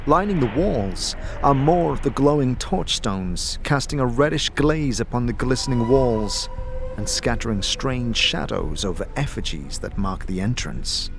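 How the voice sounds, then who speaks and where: A man narrating a fantasy audio drama in the second person, with a deliberate, descriptive storytelling delivery. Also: mid-range voice, animated, gravelly, background noise. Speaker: narrator and game master.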